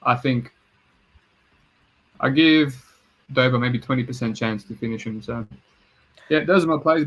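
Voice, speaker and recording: A man's voice: mid-range voice, a bit bright, low-quality recording. Man, mixed Serbian-Australian accent, podcast host, casual swearing. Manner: laid-back, swears casually. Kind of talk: casual chat.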